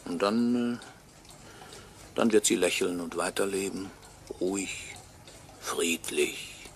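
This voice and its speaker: An actor playing a bitter murder victim who narrates a radio crime drama with brooding, sardonic deliberation and a gravelly voice. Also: male voice, low-pitched, slow, a little hiss or hum. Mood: resigned, brooding. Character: bitter murdered watchmaker's employee, narrating from beyond death.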